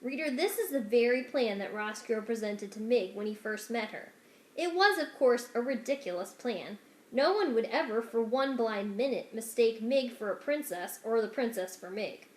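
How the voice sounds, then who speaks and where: A woman reading a children's novel aloud as expressive storytelling narration. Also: on the high side, moderate pace. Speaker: story reader. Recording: roomy indoor echo.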